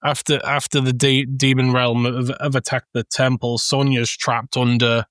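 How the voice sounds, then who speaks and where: Mid-pitched man retelling film scenes, dry recording. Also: level tone, moderate pace, fairly bright tone, no echo. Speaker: podcast co-host. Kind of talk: casual film discussion.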